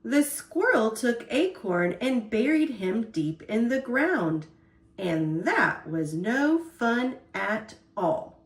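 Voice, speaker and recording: A woman storyteller reading a children's picture book aloud in an expressive, deliberate way. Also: a little high, echoey room.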